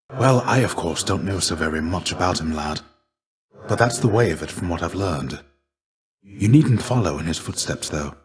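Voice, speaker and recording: A man voicing the shade of a legendary warrior in a video game, a gentle mentor who speaks in measured, formal, old-fashioned phrases. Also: low voice. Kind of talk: scripted game dialogue.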